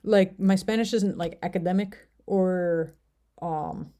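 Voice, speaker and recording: A woman's voice: lowish voice, slow pace, mellow. An American woman vlogging casually, talking in a rambling, hesitant way with many fillers. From United States. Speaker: language learner vlogger. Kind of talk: casual talk to camera.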